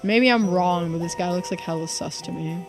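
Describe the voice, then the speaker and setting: A woman's voice: on the low side, moderate pace, music in the background. A woman game streamer giving casual, off-the-cuff commentary during a playthrough.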